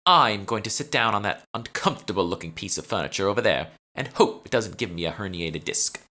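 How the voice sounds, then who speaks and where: A man's voice: on the low side, expressive, a little fast, sharp tone, voice pushed up. A man voicing a haughty, condescending British woman who plays an obnoxious socialite in an audiobook. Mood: imperious, scornful. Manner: imperious, scornful.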